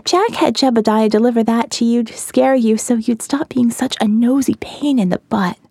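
Woman playing a frank, wistful young woman, gravelly edge. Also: fairly high pitch, normal speed, darkish tone, dry recording, clean studio sound. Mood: regretful, nostalgic. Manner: shrugging, candid, trailing off.

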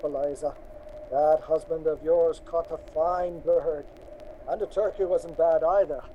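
A man's voice: high voice, warm tone, a little hiss or hum, rough recording. An actor playing a merry, drunken old farmer father in a radio drama, boisterous and rambling. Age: older.

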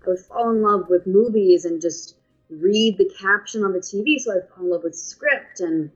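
A woman's voice: medium pitch, normal speed, slightly rough. A woman in her thirties with a heavy New York accent, chatting as an interview guest, often laughing and using fillers. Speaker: actress guest. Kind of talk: casual interview chat. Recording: muffled lo-fi audio.

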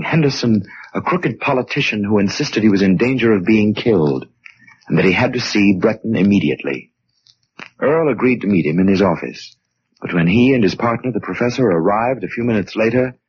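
A man's voice: lowish voice, slightly rough. A man with an American accent delivering scripted narration for a 1940s radio mystery. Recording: muffled 1940s radio recording.

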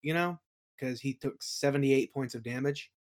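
Male game master, mid-pitched voice, casual storytelling, rambling, colloquial, interview guest. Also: normal speed. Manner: rambling, colloquial, acts out quoted remarks. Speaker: guest, game master and audio play creator. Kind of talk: casual chat, anecdotes.

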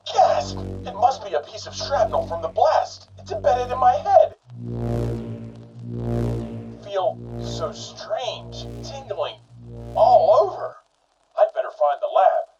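A man playing an excitable, squeaky-clean, bumbling superhero in a comic-book radio play, with corny wide-eyed exclamations. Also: slow pace, long pauses, far from the mic, over music, exaggerated voice. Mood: dazed, alarmed. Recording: dull lo-fi, off-mic radio-style recording.

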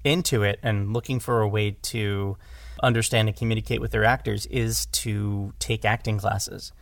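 A man speaks casually in a low voice with noticeable creak, recorded dry. He discusses things as a podcast guest, restarting and repeating words as he works out what he means. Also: steady tone, moderate pace, no echo. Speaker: podcast guest, audio drama director.

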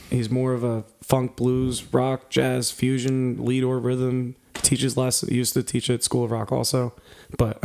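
A man's voice: medium pitch, creaky, darkish tone. Dry sarcastic man. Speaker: podcast co-host, band member. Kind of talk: casual chat, reading listings aloud.

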